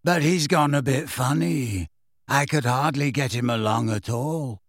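A man voicing a calm, dreamy teenage girl in a storybook, with a soft, wistful, airy manner. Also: a little high, takes it slowly, creaky, no echo, studio quality, full character voice. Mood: sad, calm.

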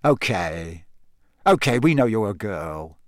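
A man's voice: fairly low pitch, very animated, takes it slowly, no room sound, clean studio sound, pitched below the performer's own voice. A man voicing a blunt, impatient teenage boy with a sour, demanding way of talking, in an audiobook. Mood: grinning. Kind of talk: audiobook character acting.

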